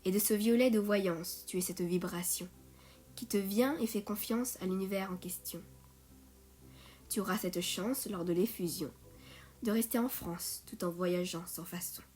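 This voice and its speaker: A woman reciting poetry in a measured way, phrase by phrase. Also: mid-range voice, a little mellow, slightly rough. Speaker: poet reading own poem. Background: music.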